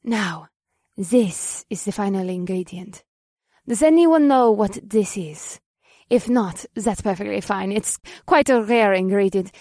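A woman playing a perky, poised witch professor in an audiobook, bright and encouraging in manner, with polite, eager delivery. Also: medium pitch, a little slow, dry recording, studio quality, lightly acted. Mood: instructive. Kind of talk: audiobook character dialogue.

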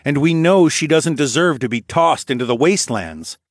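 Man acting an earnest, determined male traveller, gravelly. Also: fairly high pitch, lively intonation, normal speed, dry recording, studio quality, voice pushed up. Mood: reassuring, firm. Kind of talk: audiobook character dialogue.

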